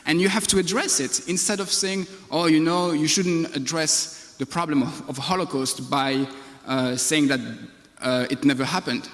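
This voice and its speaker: Man on a reverberant conference stage, fairly high-pitched. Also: steady tone, normal speed, a bit bright. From Belgium. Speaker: panel speaker on de-radicalization. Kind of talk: conference speech with personal examples. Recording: echoey conference hall, long reverb.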